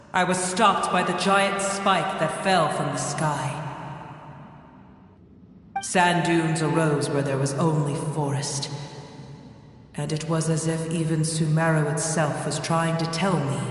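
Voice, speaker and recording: A man voicing an ancient, proud male dragon in a video game, speaking slowly, gravely and with disdain. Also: a little high, fairly bright tone. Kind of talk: video game cutscene dialogue. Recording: echoey hall with faint music.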